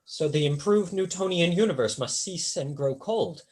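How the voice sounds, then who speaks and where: Young male Regency tutor for period stage drama, played by a man; wry, measured, melancholy, varied intonation. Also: fairly high pitch, expressive, darkish tone, natural voice. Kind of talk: staged play reading.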